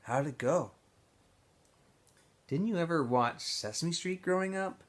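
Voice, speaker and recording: A man's voice: fairly high pitch, lively intonation, unhurried, pitched above the performer's own voice. A man voicing a polite, awkward teenage boy in a storybook, with a hesitant, casual way of talking. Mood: awkward, amused.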